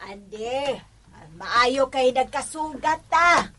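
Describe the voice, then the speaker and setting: Nagging cebuano neighbor after her money, slow n raspy, radio drama. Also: high-pitched, expressive, pushed and bright, exaggerated voice. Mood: nagging, insistent. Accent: Cebuano Filipino. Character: insistent neighborhood creditor woman, nagging for repayment. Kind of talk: Filipino radio drama acting.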